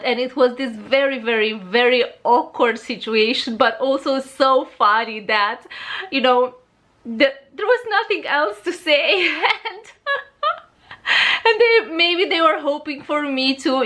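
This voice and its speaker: Bright-voiced Romanian woman vlogger. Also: fairly high pitch. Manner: hesitant, many fillers and restarts. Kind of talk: casual storytelling.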